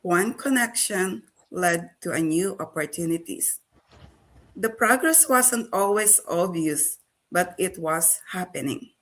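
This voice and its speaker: An older woman from the Philippines, a great-grandmother, giving a slow, measured prepared talk with some hesitations. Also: on the low side, expressive, a bit bright, rough recording. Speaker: panel speaker.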